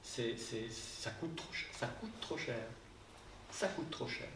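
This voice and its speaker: A man's voice: mid-range voice, lively intonation, slow, gravelly, noisy background. A man on a panel discussing theatre, hesitant, with many fillers and restarts. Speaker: translator. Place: theatre hall. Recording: roomy hall mic from a distance.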